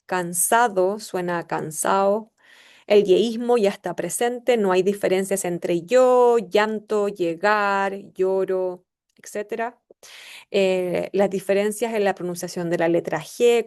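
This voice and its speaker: A woman in her forties from Chile speaks with a Chilean Spanish accent, her pitch sitting in an ordinary middle range. She delivers a class presentation in a measured, explanatory way, pausing now and then on small fillers. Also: darkish tone. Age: 40s. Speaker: student presenter.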